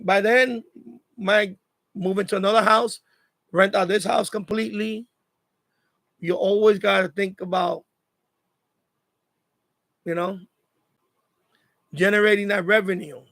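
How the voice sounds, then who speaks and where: A man's voice: sits high, fairly even intonation, unhurried, creaky, pauses often, fairly bright tone, no echo. A man chatting casually on a live stream, repeating phrases as he gives advice. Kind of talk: casual live chat monologue.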